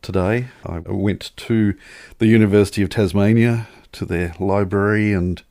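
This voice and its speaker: A man with a deep voice that often drops into gravelly creak. He chats casually as a podcast co-host, wry and deadpan. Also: moderate pace, no echo, studio quality. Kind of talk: casual chat.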